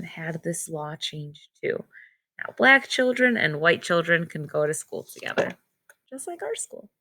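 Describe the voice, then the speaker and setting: A woman reading a picture book aloud to children like a teacher, pausing to explain things in simple words. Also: mid-range voice, gravelly, fairly bright tone. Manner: simple, child-directed explaining with short phrases. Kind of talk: read-aloud storytelling with simple explanatory asides.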